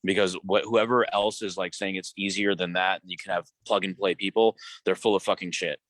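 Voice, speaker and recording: A man's voice: sits low, fairly even intonation, brisk, a bit bright, a little hiss or hum, low-quality recording. A man hosting a live coaching Q&A, talking casually in a blunt, profane, rambling way with many restarts.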